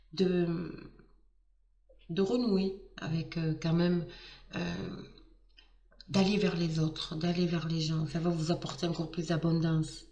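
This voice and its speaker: A woman with a slightly hoarse voice talks her viewers through a card reading, warm and excitable, stumbling through restarts and fillers. She sits a little away from the mic, and the room rings around her. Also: fairly low pitch, roomy sound, recorded from a distance. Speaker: tarot card reader. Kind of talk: casual astrology reading to camera.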